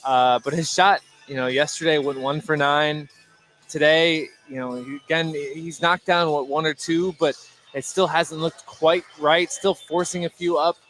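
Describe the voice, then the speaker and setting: A man giving live, unscripted basketball analysis in short, halting phrases. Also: on the high side, steady tone, fairly bright tone, soft background music, poor audio. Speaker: sports color commentator.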